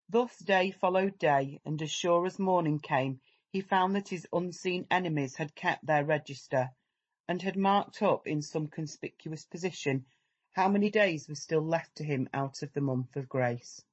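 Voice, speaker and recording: A man narrating a literary story as a measured, dramatic audiobook reading. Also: fairly high pitch, dull audio.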